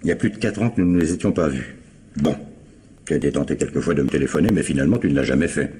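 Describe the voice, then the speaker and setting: A man acting a calm, wry, middle-aged police detective in a radio crime drama, measured and probing with hesitant pauses. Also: rumbling low, husky, full character voice.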